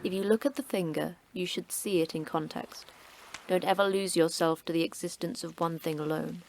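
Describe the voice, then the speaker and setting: A terse, sardonic station manager, voiced by a woman in a sci-fi horror audio drama, curt and cryptic. Her voice is low for a woman, with faint background noise under it. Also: fairly even intonation, normal speed, darkish tone, natural voice. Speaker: station manager of a secret facility.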